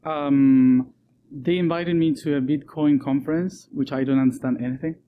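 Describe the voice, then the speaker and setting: Soft-spoken man. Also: mid-range voice, fairly even intonation, narrow, tinny audio, a little hiss or hum. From Argentina. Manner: soft-spoken, laughs often, many um and uh pauses. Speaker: guest. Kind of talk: casual interview talk.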